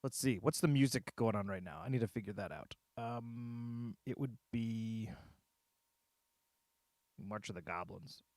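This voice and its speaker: A man on a casual livestream, thinking aloud haltingly as he drafts a script, muttering and repeating his phrases. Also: on the low side, darkish tone, dry recording. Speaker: streamer writing a visual novel.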